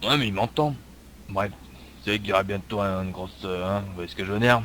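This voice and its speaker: A man's voice: fairly low pitch, quick pace, fairly bright tone, close to an everyday voice. A man improvising an exasperated, blunt police lieutenant in online game roleplay, swearing and fumbling for words. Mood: hesitant, stern. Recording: clearly noisy game voice chat.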